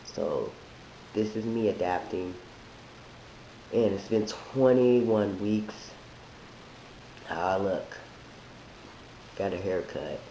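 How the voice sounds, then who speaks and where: A man who is sick, talking in a halting, thoughtful way with many restarts, in a reflective personal monologue. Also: deep voice, dark tone. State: sick, voice deeper than usual. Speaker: vlogger sharing a transition update. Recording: echoey room with faint hiss.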